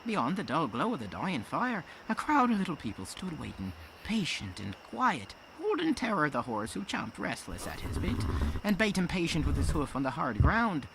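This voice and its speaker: A man playing a kindly old Irish storyteller, folksy and gentle, telling tales in an audio drama. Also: fairly high pitch, huge pitch swings, normal speed, gravelly, noisy background, full character voice. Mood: hushed, absorbed.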